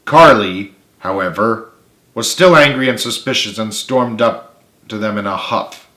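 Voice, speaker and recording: A man's voice: on the low side, unhurried, bright, forceful tone. Echoey male storybook narrator. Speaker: author reading own book. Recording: echoey room.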